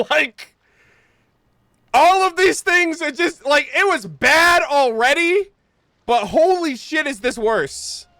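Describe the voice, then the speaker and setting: A woman's voice: sits high, expressive, takes it slowly, a bit bright, no room sound. A young woman giving casual, exclamatory and slangy live commentary as a game streamer on a close mic. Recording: game music underneath.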